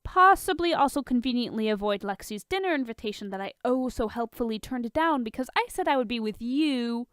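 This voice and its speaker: A playful billionaire party boy, acted out by a woman reading a story, full of sarcasm and teasing. The voice is clean and clear, recorded dry and close. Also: a little high, expressive, fairly quick, few pauses, fairly bright tone, no echo, voice pushed up. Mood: goading. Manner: sarcastic, goading. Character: playful, teasing, hard-partying billionaire man, smirking wisecracker.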